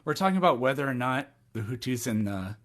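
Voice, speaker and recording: A man's voice: medium pitch, expressive, quick pace, a bit bright. A man in his thirties hosts a call-in podcast. He sounds exasperated and sarcastic, and he rattles off examples to rebut his caller. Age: 30s. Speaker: podcast host.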